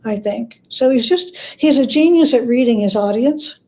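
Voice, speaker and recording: A woman interviewed as an expert guest, explaining things conversationally in a measured way with pauses. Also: fairly high pitch, expressive, warm tone. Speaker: interview guest, author and scholar.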